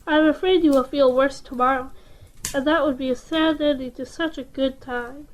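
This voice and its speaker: A woman playing a gentle, soothing mother in an audio drama, with formal old-fashioned phrasing. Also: high voice, fairly even intonation, normal speed, lightly acted, rough recording. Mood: tender concern. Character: gentle, tender mother of a sick child.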